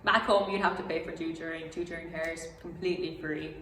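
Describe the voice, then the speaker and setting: A woman's voice: low voice, steady tone, fast talker, fairly bright tone. A young woman from Jamaica chatting casually and laughing often as she hosts a talk with fellow students. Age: young adult. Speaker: host. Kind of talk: casual chat. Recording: echoey room, faint room noise.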